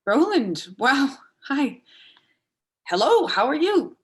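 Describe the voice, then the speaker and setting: A woman in a dramatic reading, playing a sharp, foul-mouthed, vulnerable woman with a halting, blunt delivery. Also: sits high, very animated, exaggerated voice. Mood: awkward, friendly. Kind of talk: dramatic reading of a stage play dialogue.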